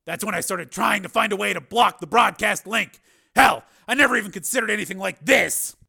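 A male narrator plays an agitated action hero in an audiobook thriller. The voice is pushed high and loud, with a hard gravelly edge. Delivery is strained, emphatic and forceful. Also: brisk, exaggerated voice. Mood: grim, urgent.

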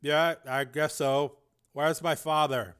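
A man's voice: on the high side, steady tone, slow, fairly bright tone, smooth, pitched above the performer's own voice. A man voicing a polite, nervous, battered boy who halts and stumbles over his words in an audiobook. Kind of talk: audiobook character voice.

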